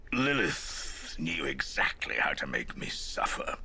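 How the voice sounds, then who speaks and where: An actor playing a bitter, world-weary immortal old man in a video game, raspy and breathy, grumbling with slow, brooding drama. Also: extremely low pitch, sing-song, sharp tone, slight background noise, heavily acted, poor audio. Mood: weary, irritable. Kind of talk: video game cutscene voice acting.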